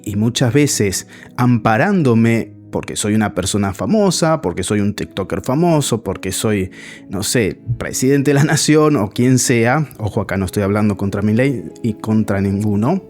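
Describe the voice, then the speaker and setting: Argentine male friar, chatty commentary straight to camera, animated delivery, faint music under. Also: mid-range voice. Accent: Argentine Spanish. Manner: conversational, addresses viewer directly. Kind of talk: casual video commentary.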